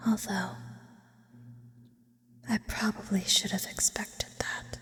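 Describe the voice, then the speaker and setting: Woman doing an ancient sleep demon for asmr, breathy whisper, eerie and intimate, drenched in long reverb. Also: mid-range voice, level tone, a bit bright. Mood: teasing, eerie. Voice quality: breathy, mostly unvoiced whisper. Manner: slow, deliberate, theatrical and formal in wording. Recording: long reverberant hall effect.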